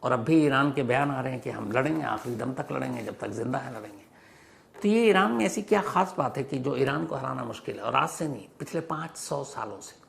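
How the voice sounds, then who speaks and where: A man's voice: mid-range voice, lively intonation, brisk, vocal fry, a bit bright, a little hiss or hum. A man presenting an explanatory news monologue in an emphatic storytelling manner, often posing questions and rephrasing points for clarity. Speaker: host of a news explainer show.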